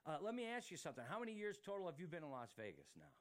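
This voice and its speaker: A man's voice: on the high side, huge pitch swings, racing. A man hosting a talk show in a casual, chatty way, often exclaiming and repeating himself as he interviews and plugs sponsors.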